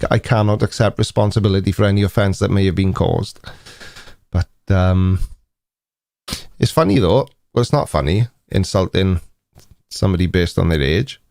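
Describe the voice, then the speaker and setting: A man's voice: fairly low pitch, a little mellow, dry recording. A man in his forties with a Welsh accent, hosting a casual live stream chat in a dry, teasing, mock-indignant manner. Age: 40s.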